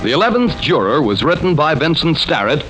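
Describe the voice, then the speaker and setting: A man's voice: fairly high pitch, sing-song, brisk, rough recording. A man announcing credits in scripted old-time radio style. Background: music.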